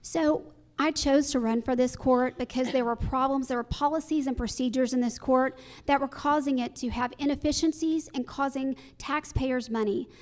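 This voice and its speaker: Female political candidate, high voice, earnest and argumentative, giving structured forum answers. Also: fairly even intonation, normal speed, few pauses, a little mellow.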